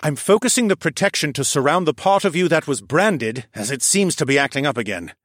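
A man's voice: fairly high pitch, lively intonation, brisk, fairly bright tone, no echo, studio quality, pitched above the performer's own voice. A man voicing a dorky, kindly older male alchemist who talks in a jovial, reassuring way. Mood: earnest.

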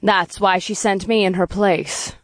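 A woman's voice: medium pitch, fairly even intonation, unhurried, no room sound, natural voice. A woman playing a poised demoness in an audiobook, composed and articulate. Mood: proud. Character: poised female demons, companions of the hero.

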